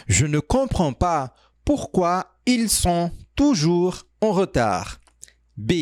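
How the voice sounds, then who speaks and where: Man, French teacher, slow careful quiz reading, animated pitch, dry close sound. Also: no echo. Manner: reads sentences slowly, stretched out, pauses on options.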